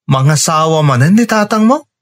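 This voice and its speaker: A man's voice: fairly high pitch, animated, moderate pace, lightly acted. A man playing a frank, concerned young companion in a radio drama, with a Filipino accent. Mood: concerned, probing.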